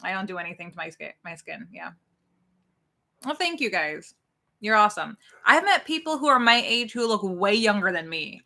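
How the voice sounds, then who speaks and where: Chatty bright-voiced woman in her forties. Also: medium pitch, big pitch swings, normal speed, creaky. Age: 40s. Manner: chatty, reading out viewer comments. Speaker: co-host. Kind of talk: casual live-stream chat.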